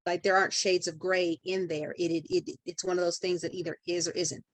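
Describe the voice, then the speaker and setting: A woman's voice: fairly low pitch, little pitch movement, fairly quick, no echo. A woman speaking as a podcast guest in conversation, emphatic and building her points through repeated phrases.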